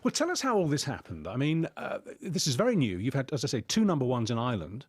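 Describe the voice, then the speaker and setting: A male radio host with a quick delivery and lively, swinging intonation. He chats and jokes his way through questions in a rambling, conversational style. The sound is dry and close, from a studio microphone. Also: medium pitch, slightly rough, no room sound. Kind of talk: chatty live radio interview.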